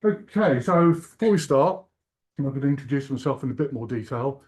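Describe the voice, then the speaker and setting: Older guy from england, mellow low tone, chatty and self-mocking on stage. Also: expressive, moderate pace. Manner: joking, self-deprecating, chatty. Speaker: conference keynote speaker. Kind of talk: humorous conference talk. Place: conference hall.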